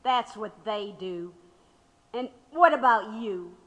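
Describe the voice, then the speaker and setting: Audio drama: proud, impassioned woman activist under questioning. Bright, forceful voice, long hall reverb. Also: medium pitch, animated, slow, lo-fi sound. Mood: defiant. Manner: impassioned, storytelling.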